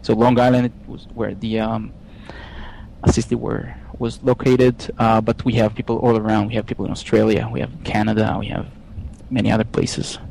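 A man from Israel with an Israeli accent, speaking English as a guest in a technical interview, with frequent pauses, fillers and restarts. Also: on the low side, level tone, creaky, darkish tone, slight background noise, rough recording. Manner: hesitant, many fillers and restarts. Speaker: software engineer guest.

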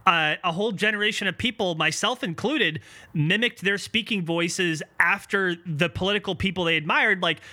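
A man with a high-pitched voice co-hosting a podcast, giving casual, emphatic political commentary. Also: normal speed, bright, forceful tone. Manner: emphatic, opinionated. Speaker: podcast co-host.

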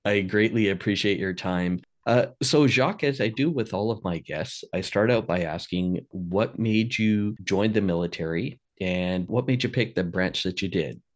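A man hosting a podcast interview, upbeat and quick to laugh. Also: on the low side. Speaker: podcast host.

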